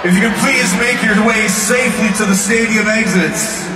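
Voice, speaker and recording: A man's voice: high-pitched, over music. A man making a formal announcement over a stadium public address system, heard through a fan's phone. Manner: formal, measured. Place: outdoor stadium. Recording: distant echoey stadium PA over crowd noise. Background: crowd noise.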